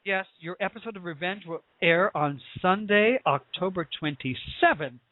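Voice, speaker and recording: A high-pitched American man hosts a chatty interview in a gushing, theatrical manner. He teases as he goes, and the sound is dry, thin and phone-like. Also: animated, normal speed, dry recording. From United States. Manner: effusive, theatrical, teasing. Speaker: talk show host. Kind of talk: casual celebrity interview. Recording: tinny, phone-like narrow-band audio.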